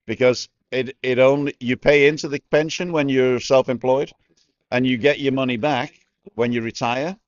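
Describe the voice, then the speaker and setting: A man's voice: medium pitch, steady tone, normal speed, no room sound. A man in his sixties hosting a vlog, chatting and asking lots of questions in a bar. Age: 60s. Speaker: vlogger host. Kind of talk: casual chat. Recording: slightly dull sound.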